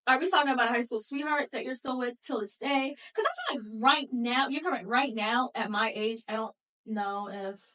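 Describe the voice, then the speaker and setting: A woman in her twenties, co-hosting a casual podcast chat in which she asks thoughtful questions and agrees easily. Her voice is fairly high, and it sounds set back from the microphone. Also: a little fast, bright, forceful tone, distant mic. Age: 20s. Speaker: podcast co-host.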